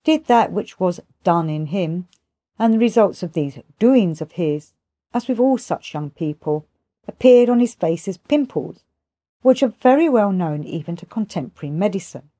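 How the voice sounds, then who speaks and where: A woman's voice: lowish voice, expressive, moderate pace, mellow, no echo. A woman voicing a kindly but sarcastic male pharmacist who explains things at length in an audiobook. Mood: sarcastic, scornful. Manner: sarcastic, expository, rhetorical questions. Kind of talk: audiobook character dialogue.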